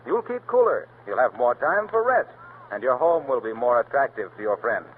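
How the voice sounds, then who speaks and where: A man's voice: very animated, normal speed. Upbeat male radio announcer. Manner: polished, upbeat pitch. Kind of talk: scripted radio commercial. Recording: tinny 1930s radio broadcast, faint hiss.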